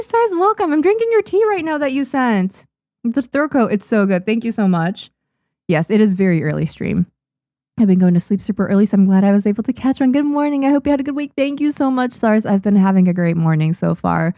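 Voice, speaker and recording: A woman's voice: medium pitch, animated, a little fast, dark tone, dry recording, studio quality. A young woman, a native Japanese speaker, chatting casually on a live stream, reading and answering viewers' questions with many restarts and fillers. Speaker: streamer and manga artist.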